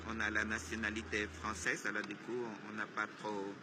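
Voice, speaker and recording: A man's voice: on the low side, level tone, moderate pace. An older man from Vietnam with a Vietnamese accent, answering interview questions hesitantly with many restarts and fillers, amused at times. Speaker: artist being interviewed. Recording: loud traffic noise outdoors.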